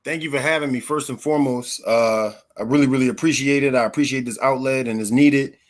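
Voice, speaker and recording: A man's voice: medium pitch, steady tone, a little fast. An American man in his thirties from Philadelphia, telling his own story conversationally as an interview guest. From Philadelphia, United States. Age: 30s.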